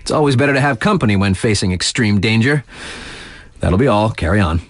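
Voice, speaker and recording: A man's voice: fairly low pitch, lively intonation, a little fast, no echo, lightly acted. An actor playing a laid-back, wry male radio DJ in a video game, talking in casual slang to hype up his listeners. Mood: cool, cautioning.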